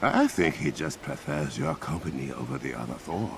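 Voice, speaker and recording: Very deep gravelly regal hero king. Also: big pitch swings, background noise, full character voice. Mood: courteous. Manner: formal, measured, courteous. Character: dignified, courteous, regal male African hero king. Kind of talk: dramatic video game dialogue.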